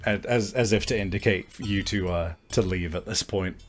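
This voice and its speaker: A man with a fairly low voice runs a tabletop game as game master. He describes scenes casually, feeling his way through phrases in an improvised, halting manner.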